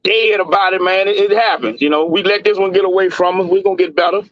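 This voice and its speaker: A forty-year-old man with a notably high voice talks sports in a relaxed, rambling way, looping back over his points with easy laughs. The sound is dry and a bit muffled, like lo-fi call audio. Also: fast talker, dry recording. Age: 40s. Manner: laid-back, laughs often, repeats himself. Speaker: podcast co-host. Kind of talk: casual sports chat.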